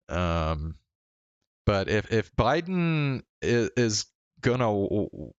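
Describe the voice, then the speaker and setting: A man livestreaming in a casual, rambling chat, halting and stammering through restarts and swearing casually. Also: on the low side, big pitch swings, dry recording, dull audio. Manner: casually profane.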